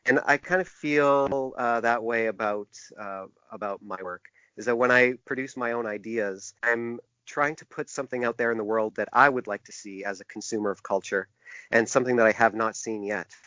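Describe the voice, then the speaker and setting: A man giving thoughtful, halting answers as an interview guest, often repeating words as he thinks. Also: mid-range voice, sharp tone, no room sound. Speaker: interview guest, publisher and graphic designer. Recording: dull, lo-fi, dry sound.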